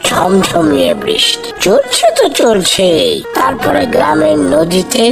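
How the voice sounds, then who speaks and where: An expressive cartoon father acted by a performer with a West Bengal Bengali accent. The voice sits fairly high and swings widely in pitch as he reminisces like a born storyteller, over prominent music. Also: a little slow, gravelly, heavily acted. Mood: nostalgic. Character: khichuri-mad middle-aged village-raised father, nostalgic and excitable. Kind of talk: cartoon family dialogue. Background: prominent background music.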